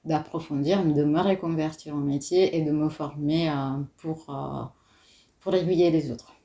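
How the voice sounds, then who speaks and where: A woman in her thirties speaks French with an Italian accent, her voice pitched low for a woman. She talks as a guest, pausing often with fillers as she searches for words. The room around her rings with noticeable echo. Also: fairly even intonation, warm tone. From Italy. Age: 30s. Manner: hesitant, many fillers. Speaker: guest, doula. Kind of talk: casual interview talk.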